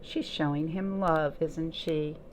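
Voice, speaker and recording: A woman reading and chatting to young children as a storytime host, in an expressive sing-song way with lots of simple questions. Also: sits low, slow pace, poor audio. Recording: noticeable background noise.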